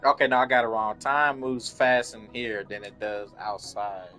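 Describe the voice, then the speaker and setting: A man's voice: mid-range voice, fairly even intonation, moderate pace, sharp tone. A man giving casual, profane, slangy commentary as he plays a video game, bluntly reacting to the story. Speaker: gamer reacting while playing. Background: game music.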